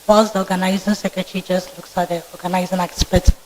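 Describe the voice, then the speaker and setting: Soft-spoken young Ghanaian woman. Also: fairly low pitch, flat delivery, quick pace, heavy background noise. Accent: Ghanaian English. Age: 20s. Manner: soft-spoken, polite and formal. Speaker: student candidate being vetted. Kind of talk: formal interview answers. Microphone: microphone with feedback.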